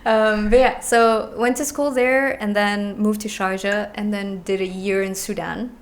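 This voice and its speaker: This is a woman in her thirties chatting as a podcast guest. Her pitch sits in an ordinary middle range. She tells her stories at a relaxed pace and laughs easily. A faint noise runs under her voice. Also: a little slow. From born in Egypt, raised in the UAE. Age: 30s. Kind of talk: casual chat.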